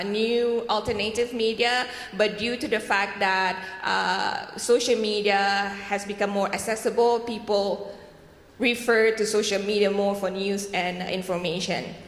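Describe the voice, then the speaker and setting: A woman from Malaysia speaks on a conference panel, pausing often and reaching for words. Her mid-pitched voice rings out through a large echoey hall with a long tail of reverb. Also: steady tone, a bit bright. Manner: halting, frequent fillers and "what we call it". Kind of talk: panel presentation.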